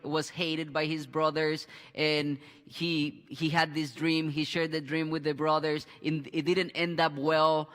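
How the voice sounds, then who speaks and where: A man in his thirties hosting a church Bible discussion on a headset mic, halting with restarts and fillers. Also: fairly high pitch, level tone, a bit bright, big-room sound. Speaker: pastor and host. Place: church studio with a screen behind.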